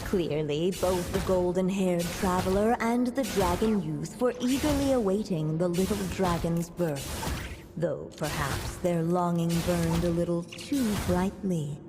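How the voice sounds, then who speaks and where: A woman playing a tender, wise storyteller, narrating a fairy tale in a video game in a measured, theatrical way with long reverb. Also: fairly low pitch, natural voice. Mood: gently wry. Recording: long reverb, music underneath.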